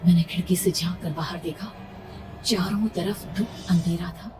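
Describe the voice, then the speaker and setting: A woman's voice: lowish voice, steady tone, normal speed, lightly acted. A woman playing a frightened young pregnant woman, telling her horror story in a suspenseful, emotional, theatrical first-person style. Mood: suspenseful dread. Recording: distant-sounding voice under loud music. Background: loud background music.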